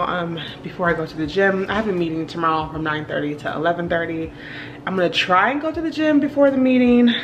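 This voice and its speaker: A young woman talking breezily to a handheld camera at home, chatting casually and laughing often. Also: on the low side. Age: young adult. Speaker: vlogger. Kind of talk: casual vlog chat to camera. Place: home kitchen and bedroom indoors. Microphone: handheld camera at arm's length.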